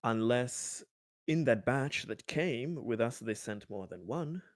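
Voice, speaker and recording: A man's voice: mid-range voice, animated, slow, no room sound, studio quality. A man improvising in-character dialogue in a tabletop roleplaying game, speaking hesitantly in polite, formal phrases.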